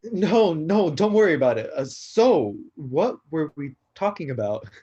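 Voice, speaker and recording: A man playing an awkward, downcast young god of destruction in a staged reading, husky and hesitant. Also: on the high side, sing-song, a little slow, dark tone, dull audio, heavily acted. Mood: nervous, awkward. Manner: hesitant, self-conscious. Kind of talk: live staged play reading.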